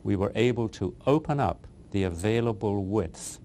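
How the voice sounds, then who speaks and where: A man's voice: fairly low pitch, lively intonation, normal speed, mellow, slight background noise. A man in an interview giving a precise technical explanation of bridge engineering.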